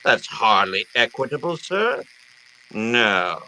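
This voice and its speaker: An actor playing a pompous, scheming fat crime boss in an old-time radio drama, speaking in florid, elaborately courteous phrases. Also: male voice, medium pitch, very animated, takes it slowly, pushed and bright, a little hiss or hum, heavily acted, low-quality recording. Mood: coolly courteous.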